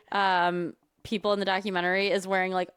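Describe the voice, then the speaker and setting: A woman with a Midwestern American accent, co-hosting a casual chat podcast, lively and laughing often. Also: mid-range voice, fairly quick, smooth, no echo, clean studio sound. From Michigan, USA. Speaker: podcast co-host.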